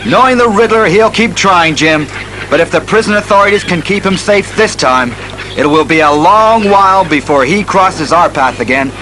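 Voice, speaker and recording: A man's voice: high voice, animated, moderate pace, background noise. A man playing a steadfast, commanding masked crime-fighter in a resolute, declamatory superhero audio drama style. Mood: triumphant. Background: dramatic music.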